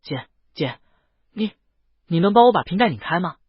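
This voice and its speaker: A man voicing a timid young dragon boy in a storybook, soft, stammering and pleading. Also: high voice, big pitch swings, moderate pace, slightly rough, no echo, dull audio, exaggerated voice.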